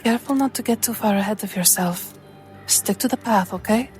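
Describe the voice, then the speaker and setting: A woman voicing a shrewd, teasing female traveler with a measured, coaxing manner in a tabletop game. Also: mid-range voice, darkish tone, soft background music, natural voice. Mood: cautioning. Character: Vianne, shrewd teasing female spy companion.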